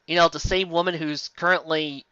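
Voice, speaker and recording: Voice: medium pitch, fairly even intonation, normal speed, bright, forceful tone, smooth, no echo, dull audio. A sarcastic, exasperated commentator reading fan fiction aloud and breaking off into theatrical asides. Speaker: reader and commentator.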